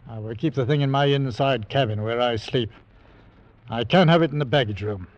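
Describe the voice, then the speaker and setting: An actor playing a gruff, cautious ship captain in an old-time radio drama, speaking in a curt, stern, clipped way. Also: male voice, mid-range voice, a little fast, lightly acted. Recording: muffled vintage radio recording.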